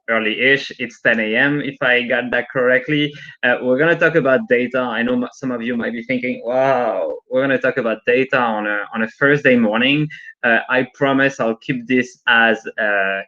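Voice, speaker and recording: A man's voice: medium pitch, sharp tone. Non-native man presenting over a lo-fi call. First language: not English. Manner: chatty, frequently adds "right?". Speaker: workshop presenter. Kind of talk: live online training talk with demos. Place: working from home. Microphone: video call. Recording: lo-fi video call audio.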